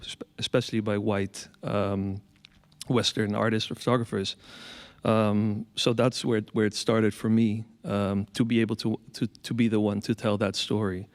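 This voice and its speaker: A man from the Caribbean, an artist, speaks on a panel in a measured way, pausing often with ums. His voice is low and flat, carried with a long reverb. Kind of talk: panel talk.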